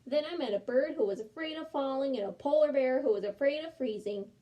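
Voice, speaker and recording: A woman reading aloud, voicing a shy young boy turtle who is afraid of the dark, with a polite, pleading manner. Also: high-pitched, brisk, lo-fi sound, lightly acted. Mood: wondering.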